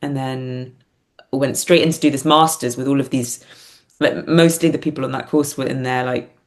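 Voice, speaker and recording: A woman speaks as a podcast guest with a low voice and plenty of vocal fry. She is thoughtful and a little hesitant, reflecting in a self-effacing, conversational way. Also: steady tone, normal speed. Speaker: podcast guest, playwright and performer.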